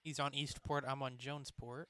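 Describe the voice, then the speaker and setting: A man giving a casual, matter-of-fact step-by-step software demonstration on a livestream. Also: medium pitch, flat delivery, normal speed, clear, resonant tone. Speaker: participant demonstrating radio software. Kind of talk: casual step-by-step screen-share demo.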